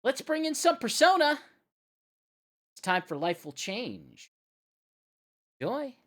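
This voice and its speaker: A woman's voice: high voice, very animated, slow, fairly bright tone. A woman hosting a casual live music stream, rambling and thinking aloud as she goes. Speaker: radio show host.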